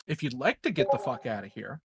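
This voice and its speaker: A flippant tabletop-game president, acted out by a man, recorded close and dry. He stammers and rambles through excuses in a medium-pitched, everyday voice. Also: sing-song, brisk, gravelly, husky, no echo, full character voice. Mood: flippant bluffing.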